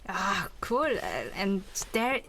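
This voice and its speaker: A woman's voice: medium pitch, sing-song, takes it slowly, gravelly, sharp tone. A young woman co-hosting a student podcast in a conversational style, prompting the group. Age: young adult. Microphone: close podcast microphone.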